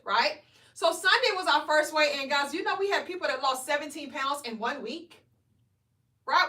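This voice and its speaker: 40s woman coaching weight loss on a live, high bright voice, echoey room, sounds a bit off mic. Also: distant mic. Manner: energetic, preachy, keeps asking "right?". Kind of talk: live stream motivational talk.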